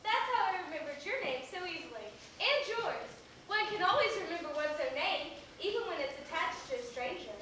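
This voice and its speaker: A woman plays a coy young schemer in a stage comedy, her very high voice bright and lively as she gushes and pleads. It is caught from a distance in a very echoey theater. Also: a little fast, full character voice. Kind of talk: stage acting in a one-act comedy. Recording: echoey theater, distant camera, faint hiss.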